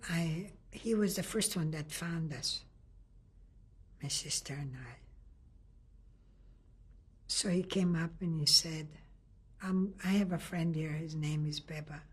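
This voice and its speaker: An older woman from Lithuania giving an oral history testimony in a halting way, often restarting and searching for words. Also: low-pitched, husky. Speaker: interviewee.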